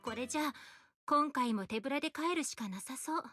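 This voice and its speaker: A woman's voice: high voice, animated, rapid-fire, bright, forceful tone, soft background music. An actress voicing a young female character in an anime-style game story. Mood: conversational.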